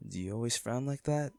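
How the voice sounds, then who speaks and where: A man acting an anguished wealthy businessman in an intimate audio drama, speaking haltingly and emotionally to a comatose lover, with a gravelly voice. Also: medium pitch, no echo, heavily acted. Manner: halting, emotional, with sighs and repeated words.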